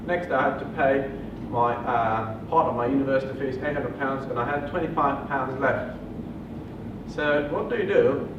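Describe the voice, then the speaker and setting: A man from Bulgaria in his thirties with a Bulgarian accent, telling his story at a property seminar with earnest pauses and fillers. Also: mid-range voice. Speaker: property investor presenter. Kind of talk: seminar presentation. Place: hotel function room. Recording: muffled, distant, echoey room with noise.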